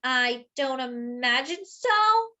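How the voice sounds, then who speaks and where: Storybook doughnut hero, played by a woman: small, male, eager and easily wounded, high voice with bouncy, exclamatory delivery. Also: sing-song, slow, sharp tone, muffled recording, exaggerated voice. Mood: dismissive.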